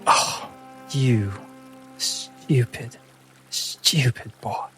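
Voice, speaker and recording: A man's voice: mid-range voice, very slow, a bit bright, soft background music. A man voicing a menacing lionkin woman who leads a gang, theatrical, mocking and falsely polite, in a tabletop game. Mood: mocking, cold.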